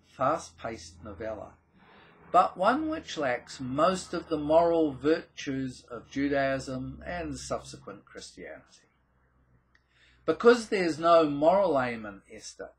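Older New man, animated and husky. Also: on the high side. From New Zealand. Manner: deliberate, emphatic phrasing with dramatic pauses. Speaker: presenter of a theology video channel. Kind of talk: scripted explainer narration to camera.

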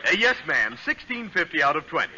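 Sarcastic clerk, very noisy old radio. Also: male voice, a little high, big pitch swings, fairly quick, highs cut off. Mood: brisk, dry. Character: dry, sarcastic male department store clerk. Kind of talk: 1940s radio comedy acting.

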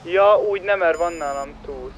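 A man in casual roleplay chatter with other players in an online game. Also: a little high. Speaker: fellow player on voice chat. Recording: clear vehicle noise behind voice.

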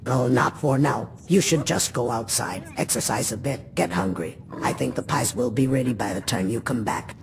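Man as gentle troll, fantasy game creature, creaky gravelly voice, mid pitch, earnest, courteous. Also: moderate pace, hardly any pauses, slight background noise, natural voice. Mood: polite, gentle. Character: gentle troll who loves cooking, newly well-spoken. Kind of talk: fantasy game character acting.

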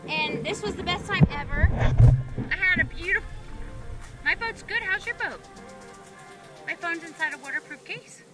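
A woman in her thirties chatting excitably and encouragingly into a handheld camera outdoors by the water, in a casual vlog. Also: very high voice, normal speed, pauses often, rough recording. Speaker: vlogger. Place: outdoors by a bay, dock and water. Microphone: handheld phone or action camera. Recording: music behind, outdoor handheld recording.

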